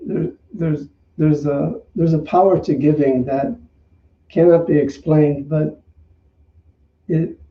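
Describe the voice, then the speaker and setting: Gravelly male minister, distant echoey sound. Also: fairly high pitch, steady tone, slow. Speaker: minister leading an online service. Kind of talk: unscripted church offering talk and led affirmations. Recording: dull, echoey, far from the mic.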